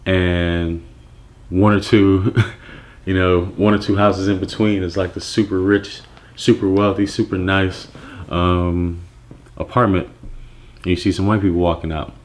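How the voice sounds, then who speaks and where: Deep-voiced man telling his story. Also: measured pace. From Nigeria. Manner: reflective, relaxed, with many fillers and restarts. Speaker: clothing brand founder. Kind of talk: personal story monologue.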